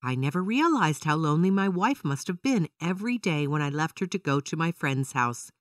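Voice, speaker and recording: A woman voicing a loyal, earnest male leopard, a gentle husband in a children's storybook, reasoning as he talks. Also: sits low, lively intonation, fairly quick, no room sound, studio quality, recorded from a distance. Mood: regretful.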